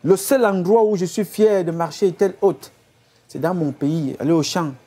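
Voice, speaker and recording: A man's voice: sits high, moderate pace, warm tone. A man from Cameroon, an activist leader giving an impassioned address to his followers, full of repeated exhortations. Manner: exhorting, repetitive rhetorical appeals.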